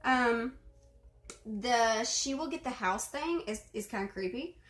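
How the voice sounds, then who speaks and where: A young woman from Arkansas chatting casually to camera at home, with lots of fillers and throat clearing. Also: fairly high pitch, lively intonation, slow, a bit bright. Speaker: video host responding to a reading. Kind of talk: casual chat. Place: bedroom at home. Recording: roomy echo.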